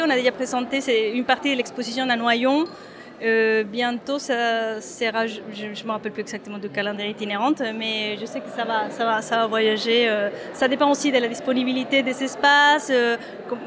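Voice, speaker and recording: Femme mexicaine hésitante, voix assez aiguë. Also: female voice, fairly high pitch. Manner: hesitant, many fillers and restarts. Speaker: photographer. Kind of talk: interview at an exhibition opening.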